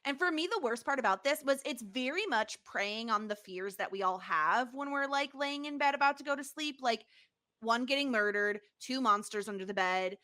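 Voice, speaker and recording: A woman with a bright, forceful, fairly high voice hosts a casual podcast. She retells a TV episode in an animated way, as if chatting with friends. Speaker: podcast host.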